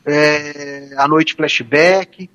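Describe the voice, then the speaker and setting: A man's voice: fairly high pitch, steady tone, very slow, a bit bright, lo-fi sound. A man, a law professor and radio guest, talking informally with hesitant restarts. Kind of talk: casual radio chat.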